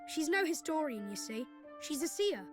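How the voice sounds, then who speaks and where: Young boy prince, high voice, gentle, earnest, measured, video game drama, music underneath. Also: big pitch swings. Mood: earnest, calm. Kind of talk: video game cutscene acting. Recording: orchestral game music behind.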